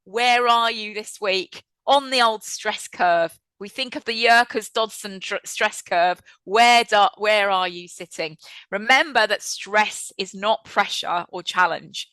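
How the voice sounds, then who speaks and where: A woman in her fifties with a bright, clear voice, heard dry and close. She hosts a webinar over a video call in a chatty, reflective way. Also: medium pitch, takes it slowly, no room sound, rough recording. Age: 50s. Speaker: webinar host and trainer. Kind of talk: presenting a webinar.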